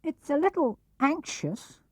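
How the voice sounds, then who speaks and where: A man's voice: very high voice, big pitch swings, unhurried, no echo, pitched above the performer's own voice, full character voice. A man voicing a small, timid, anxious young piglet in a storybook reading, with a plaintive, fretful manner. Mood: anxious, forlorn. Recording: muffled old cassette or vinyl transfer.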